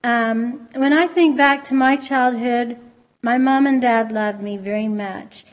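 A soft-spoken woman giving an informal church talk, gentle and conversational, sometimes pausing to restart a thought. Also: on the high side, dull audio. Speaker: church speaker. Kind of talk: informal sermon.

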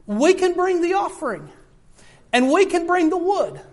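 A man preaching a sermon in a notably high, light voice. He talks earnestly and circles back on his points, often turning to the congregation to address them directly. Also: very animated, moderate pace. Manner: earnest, repetitive, often addresses listeners as folks.

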